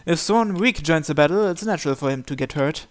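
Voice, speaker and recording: A man's voice: fairly high pitch, expressive, quick pace. A man hosting a visual novel playthrough, reading the first-person narration aloud.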